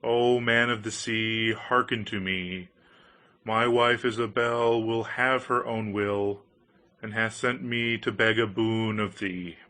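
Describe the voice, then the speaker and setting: A man's voice: lowish voice, steady tone, slow, sharp tone. A man voicing a meek, reluctant poor fisherman in a storybook, hesitant and doleful. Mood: solemn pleading.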